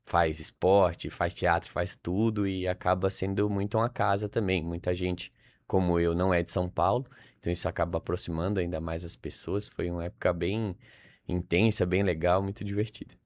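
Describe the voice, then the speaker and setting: A man's voice: low-pitched, steady tone, a little fast. A young man from Salvador with a Brazilian accent, chatting informally as a podcast guest and thinking aloud with frequent tag questions. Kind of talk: casual interview conversation.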